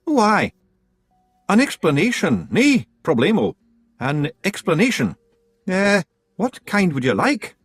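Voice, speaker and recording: A squeaky, high little fairy clan chief blusters and dodges in a thick Scottish accent. His pitch bounces all over the place. He sounds like a shifty storybook rascal. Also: big pitch swings, breathy edge, dry recording, full character voice. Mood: blustering. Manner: fast, blustering, evasive. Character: small blue fairy clan chief, male, excitable.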